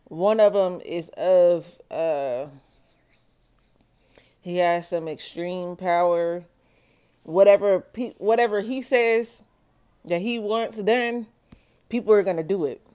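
Woman, tarot reader, low-pitched, warm dark tone, slow measured delivery. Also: clear, resonant tone. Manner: slow, measured, repeats "okay?" to viewers. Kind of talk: solo tarot reading video.

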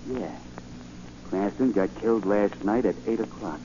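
An actor as a low-voiced, slangy small-time crook. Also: male voice, measured pace, natural voice. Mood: cagey, sly. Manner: rough slangy working-class speech. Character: shady, rough, jumpy small-time crook and fake psychic. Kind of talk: 1940s radio acting. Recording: muffled, lightly noisy 1940 radio recording.

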